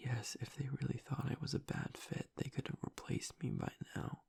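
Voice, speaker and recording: A man playing a gruff young werewolf pack alpha in an intimate audio roleplay, halting and tearful, his voice breathy and gravelly as he grieves and doubts himself. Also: steady tone, darkish tone, whispered, heavily acted. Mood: spiralling, distressed. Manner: halting, low-voiced, choked with emotion. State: tearful, on the edge of crying.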